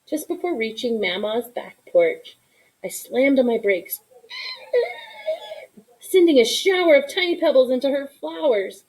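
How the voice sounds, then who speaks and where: A woman reading a children's story aloud in an expressive style, with deliberate, dramatic emphasis. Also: high-pitched, poor audio. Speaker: storybook reader.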